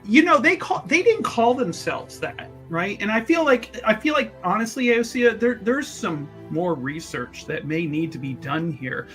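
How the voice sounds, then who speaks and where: A player acts out a flamboyant male mage in a tabletop roleplaying game. The voice is bright and forceful at a middling pitch, tumbling through hesitant, effusive rambles. Music plays softly underneath. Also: big pitch swings, normal speed, few pauses. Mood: earnest, defensive. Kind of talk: improvised in-character tabletop roleplay.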